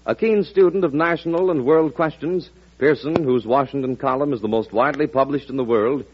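An American man making promotional announcements in the style of a 1940s network radio announcer. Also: medium pitch. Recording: husky, muffled old radio broadcast.

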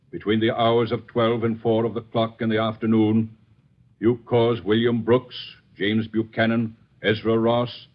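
An actor playing a stern colonial court official, declaiming in solemn, formal tones in an old radio drama. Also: male voice, on the low side, lightly acted. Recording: dull, lo-fi old radio recording.

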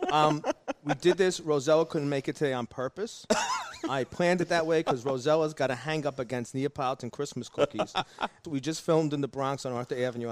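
A man hosting a casual podcast chat, talking in a rambling, animated way. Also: mid-range voice, slight background noise. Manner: rapid-fire, rambling, animated. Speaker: podcast host. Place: pastry shop.

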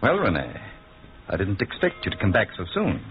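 An actor playing a cold, calculating villain in a 1940s radio drama, with a husky, rough voice. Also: male voice, lowish voice, wildly swooping pitch, fairly quick. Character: cold, calculating male schemer, smooth villain. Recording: muffled old radio recording.

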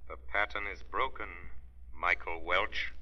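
A low, hard-edged voice of an unseen avenger, deliberate and taunting. He commands and threatens in 1940s radio style, on a dull vintage recording. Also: fairly even intonation, bright, forceful tone, heavily acted. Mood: stern, triumphant. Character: invisible avenging crime fighter, menacing and commanding. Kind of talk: 1940s radio drama acting.

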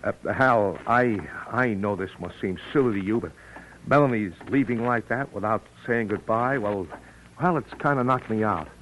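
An actor playing a shy, earnest miner in an old-time radio drama, speaking hesitantly and apologetically. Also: male voice, on the low side, normal speed, a bit bright, natural voice. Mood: hurt, earnest. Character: shy, earnest, easily flustered male miner. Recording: noisy vintage radio recording.

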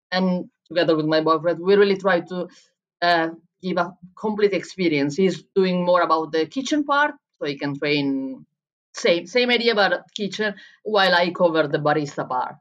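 A woman with an Italian accent, speaking English as a second language, tells how she works as a head barista and coach. Her voice sits on the lower side, and she often stops and rephrases mid-sentence. The recording sounds dull and lo-fi. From Italy. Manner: searching for words in English, frequent restarts. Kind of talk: conversational interview answers.

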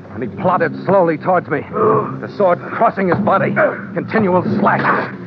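A man acting a wry, hard-boiled sea captain recounts an old radio adventure. His voice sits a little high and his phrasing is clipped. The recording is muffled vintage radio, with loud music behind him. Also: natural voice. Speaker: narrator and lead character. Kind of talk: 1940s-50s radio adventure narration. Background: dramatic music.

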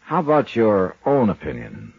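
An American actor playing a shrewd, unflappable insurance investigator in a 1950s radio detective drama, brisk, dry and probing. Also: male voice, lowish voice, huge pitch swings, vocal fry, husky, no echo, full character voice. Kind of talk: 1950s radio detective drama acting and first-person narration. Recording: muffled, roomless vintage 1950s radio recording.

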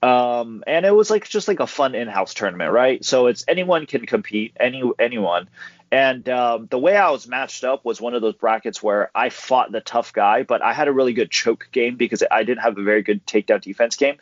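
A young man chats as a podcast guest, easygoing and quick to laugh as he tells his stories. His voice sits at an ordinary middle pitch. The recording is dry with no room sound and slightly muffled. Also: animated, no echo. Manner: laid-back storyteller who laughs easily. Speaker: guest, pro MMA fighter. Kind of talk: casual podcast interview. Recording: dull dry audio.

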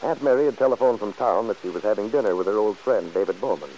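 A man's voice: lowish voice, fast, dark tone, lo-fi sound. A man reading scripted story narration for an old radio serial, in the style of 1940s broadcasting. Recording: hissy old radio recording.

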